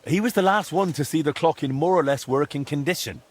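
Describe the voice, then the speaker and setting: An actor playing a determined young blacksmith hero in a video game, coaxing and firm, with a husky voice. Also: male voice, on the high side, a little fast, close to an everyday voice. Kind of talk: video game drama acting.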